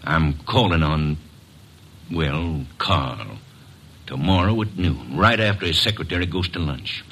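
A man playing a bitter, driven drifter bent on revenge in a 1940s radio drama, tense and husky. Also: rumbling low, expressive, measured pace, sharp tone, exaggerated voice. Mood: grim.

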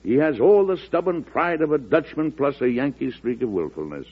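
An actor playing an aging, stubborn former president in an old-time radio drama, emphatic, folksy and self-assured. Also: male voice, medium pitch, very animated, normal speed, slightly rough. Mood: wry, determined. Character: aging former president, stubborn, commanding, wily political boss. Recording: muffled lo-fi old radio broadcast.

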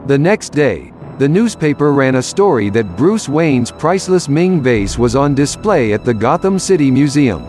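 A man's voice: mid-range voice, big pitch swings, moderate pace, hardly any pauses, darkish tone. A man narrating a scripted action story for an audio drama. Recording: music bed behind narration.

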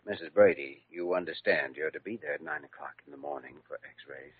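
An actor playing a calm, reassuring young hospital doctor in 1940s radio acting. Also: male voice, very deep voice, fairly quick, husky, full character voice. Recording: tinny old radio recording.

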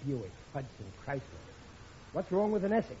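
A man's voice: a little high, sing-song, normal speed, dark tone. A man playing a blustering, stubborn, dim working-class American husband and dad in a 1950s radio sitcom. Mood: proud, excited. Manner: blustering, emphatic. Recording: muffled, noisy old radio recording.

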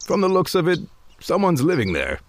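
An actor playing a gruff, skeptical male adventurer, wry and dismissive, in a comedic fantasy audio drama. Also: sits high, huge pitch swings, brisk, slight background noise, heavily acted. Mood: matter-of-fact.

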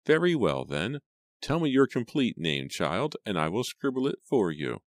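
A man's voice: lowish voice, big pitch swings, moderate pace, no room sound, clean studio sound, pitched above the performer's own voice. A man voicing a fretful, scolding old female housekeeper with a bossy, folksy manner in a storybook. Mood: scolding, warning.

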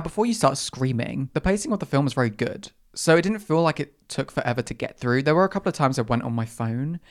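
A young adult podcast host, slightly croaky after a run, telling stories in a sassy, dramatic way and acting out their own reactions. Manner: sassy, dramatic, acts out its own reactions with big pitch jumps. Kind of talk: casual storytelling monologue.

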